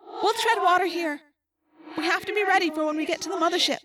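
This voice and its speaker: A woman voicing a brave young girl who is trapped, hushed, urgent and grimly resigned, in a story. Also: very high-pitched, quick pace, distant mic, heavy background noise, pitched above the performer's own voice. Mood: grim, pleading.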